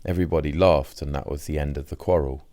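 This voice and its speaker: A man narrates a personal diary as an audiobook. His voice is extremely deep and soft-edged, with a dark, mellow tone. The sound is dry, with hardly any room around it. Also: fairly even intonation, fast, no echo.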